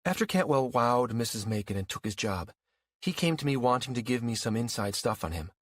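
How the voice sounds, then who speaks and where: A man's voice: mid-range voice, lively intonation, brisk, no echo, lightly acted. A man voicing a matter-of-fact woman in an audiobook story, explaining things with assurance. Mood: assured, revealing. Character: matter-of-fact woman revealing inside information.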